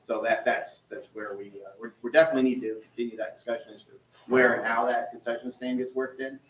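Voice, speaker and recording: A man representing a softball league, explaining plans at a town board meeting in an easygoing way with frequent restarts. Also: mid-range voice, flat delivery, quick pace. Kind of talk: public meeting discussion. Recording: distant mic in echoey hall.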